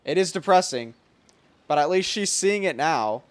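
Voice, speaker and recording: A man's voice: a little high, animated, moderate pace, clean tone. Casual male gamer with slangy asides. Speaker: gaming streamer. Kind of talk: casual gameplay reaction commentary. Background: game music.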